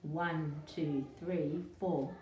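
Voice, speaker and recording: A woman with a fairly low voice reads a picture book to young children as an early years educator. Her delivery is expressive and addresses the listeners directly. The sound is roomy and distant, with faint noise behind it. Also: very slow. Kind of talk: children's storybook read-aloud.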